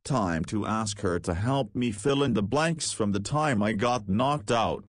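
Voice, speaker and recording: A man's voice: fairly low pitch, expressive, unhurried, no echo, clean studio sound. An actor playing a cheeky, laid-back young man of nineteen in a visual novel, talking in a casual, wry way. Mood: musing. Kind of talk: visual novel voice acting with inner monologue.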